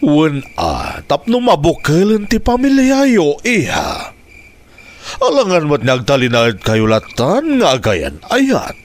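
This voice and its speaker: A man playing a stern older ex-military father in a radio drama, questioning in a deliberate, probing way. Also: on the high side, huge pitch swings, exaggerated voice. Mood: insistent.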